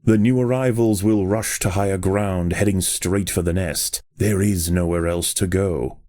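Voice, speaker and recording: A man reading scripted nature documentary narration in a measured, solemn and dramatic manner. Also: sits low, steady tone, a little mellow. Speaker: documentary-style game narrator.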